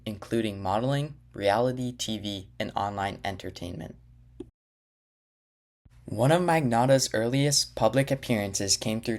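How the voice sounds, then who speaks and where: A man reading scripted documentary narration in an even, measured way. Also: mid-range voice.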